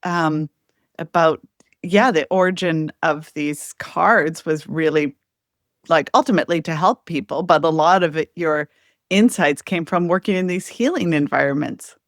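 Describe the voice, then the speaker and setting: A woman hosting a casual podcast interview, warm and enthusiastic, with lots of affirming responses. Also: sits low, unhurried, no echo, clean studio sound. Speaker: podcast host.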